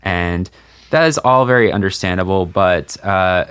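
Very deep-voiced man hosting a podcast. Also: a little slow, a bit bright, clean tone, dry recording. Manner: joking, rambling, many "like"s. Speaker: podcast host. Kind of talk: casual group chat.

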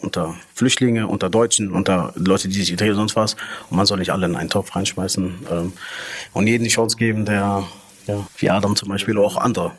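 A man's voice: lowish voice, husky. Earnest man, restaurant manager. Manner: earnest, talks in loose run-on clauses with fillers. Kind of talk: casual interview.

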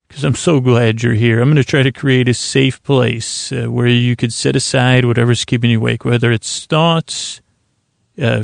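An American man with a creaky voice hosting a sleep podcast, rambling soothingly and haltingly, with mumbly tangents that trail off. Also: medium pitch, no room sound, clean studio sound. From Syracuse, New York, United States. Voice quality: creaky, gravelly. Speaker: host of a bedtime sleep podcast. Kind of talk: rambling, soothing bedtime monologue.

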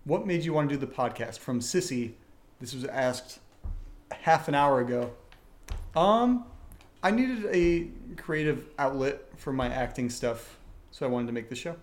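A man's voice: medium pitch, expressive, measured pace. A man hosting a live podcast Q&A, chatting casually with the others. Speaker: podcast host, audio drama creator. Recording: roomy echo.

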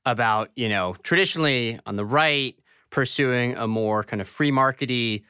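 A male podcast host asks his questions in a thoughtful, conversational way. His voice is smooth and clear, recorded dry and close with no room sound. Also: mid-range voice, moderate pace, pushed and bright, dry recording. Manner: thoughtful, conversational, hedging with fillers. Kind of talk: interview questions.

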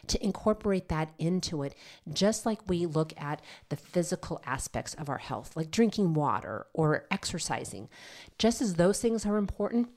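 A woman's voice: deep voice, mellow. An older woman hosting a health podcast, slightly nasal from a head cold, chatty and encouraging, often checking in with "okay?". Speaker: podcast host, nurse practitioner. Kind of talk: solo health podcast talk.

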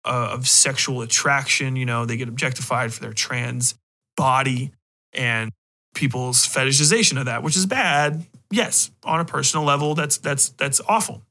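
A man's voice: mid-range voice, fairly even intonation, normal speed, sharp tone. A man hosting a podcast, giving his opinions in a casual, rambling, emphatic way with plenty of swearing. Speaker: podcast host.

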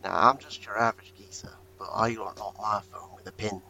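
Laid-back, low-voiced guest. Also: male voice, steady tone, fairly quick, vocal fry, bright, forceful tone. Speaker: guest, host's brother and streamer. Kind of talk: casual podcast chat over a call.

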